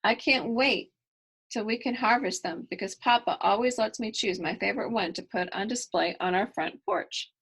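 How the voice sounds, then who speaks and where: A woman's voice: medium pitch, moderate pace, fairly bright tone, husky, poor audio. A woman reading a children's story expressively, with chatty asides to young listeners. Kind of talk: expressive picture-book read-aloud with chatty asides to children.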